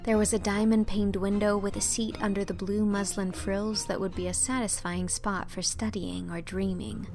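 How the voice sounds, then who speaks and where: Female literary narrator; medium-pitched with a touch of fry, scripted audiobook read. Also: little pitch movement, normal speed, hardly any pauses, a little mellow, faint music behind.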